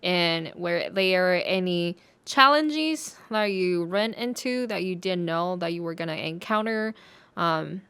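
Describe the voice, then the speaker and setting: A woman's voice: fairly low pitch, lively intonation, takes it slowly, smooth. A woman hosting a podcast interview, asking questions with many casual fillers. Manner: warm fillers, many "you know". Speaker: podcast host.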